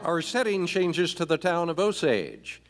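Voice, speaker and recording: Man as radio-play narrator, dramatic, fairly high voice, scripted, echoey concert hall. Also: sing-song, measured pace. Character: dramatic male radio serial announcer. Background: orchestral music.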